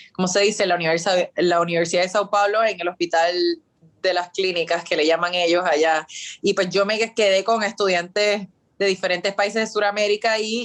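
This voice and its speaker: A woman in her thirties from Puerto Rico with a Puerto Rican accent, answering interview questions in a chatty way, full of fillers and English words, over a video call. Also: fairly low pitch. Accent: Puerto Rican Spanish. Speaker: guest.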